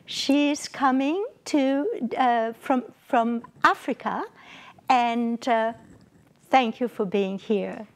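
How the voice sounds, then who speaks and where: A woman hosting a community TV interview in a small studio, speaking haltingly with many restarts. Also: fairly high pitch. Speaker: host.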